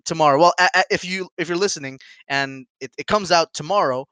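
A man's voice: a little high, fairly quick, pushed and bright, clear, resonant tone, no room sound. A man in his twenties co-hosting a casual podcast, rambling with restarts, laughing often and swearing freely, English not his first language. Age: 20s. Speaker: podcast co-host.

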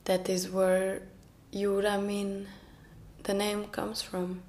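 A young woman from Norway, a native Norwegian speaker, telling her story in slow, soft-spoken, thoughtful narration. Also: mid-range voice, monotone. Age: young adult. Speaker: illustration student and project co-founder. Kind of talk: reflective personal narration.

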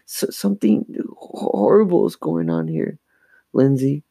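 A scared young twin sister from a storybook, played by a man, pitched up from a normal speaking voice. The delivery is breathless, stammering and pleading, in a dry recording. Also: moderate pace, gravelly, mellow, breathy edge, no room sound, heavily acted. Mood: panicked, tearful. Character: frightened young girl, anxious twin sister.

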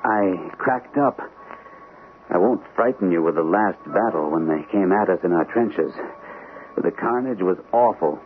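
An old, tinny radio recording carries a fragile, shell-shocked captain. His voice is fairly low and halting, anxious as he tells his story in radio drama style. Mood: subdued, haunted. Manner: hesitant, halting delivery. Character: shell-shocked army captain, anxious, fragile First World War veteran. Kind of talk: old-time radio drama acting with first-person narration. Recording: muffled, tinny old radio broadcast, faint hiss.

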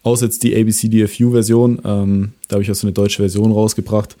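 Young guy, lowish dark voice, laid back, lots of ähms. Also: fast. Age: young adult. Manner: relaxed, many fillers, trails off softly. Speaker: singer-songwriter guest. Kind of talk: casual interview chat.

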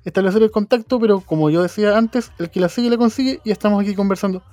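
A man's voice: high-pitched, fast talker, mellow, no room sound. A man hosting an interview, chatty and friendly, with hesitant restarts in his questions. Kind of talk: casual interview.

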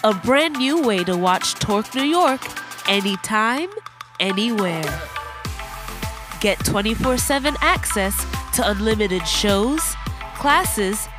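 A woman reading a scripted promo in a slow, polished announcer delivery. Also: medium pitch. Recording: promo with loud music bed.

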